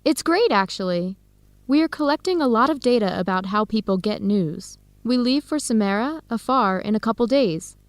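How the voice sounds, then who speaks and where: Woman acting as friendly, higher-voiced young American researcher. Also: lively intonation, normal speed, no room sound, natural voice. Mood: upbeat, chatty. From United States. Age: young adult. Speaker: radio drama actor. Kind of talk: scripted English-learning radio drama.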